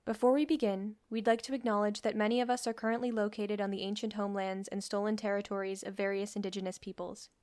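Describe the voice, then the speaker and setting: Female announcer, mid pitch, scripted welcome for an audio drama, dry recording. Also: level tone, fast talker, few pauses, a little mellow, no echo. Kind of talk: scripted pre-show announcement.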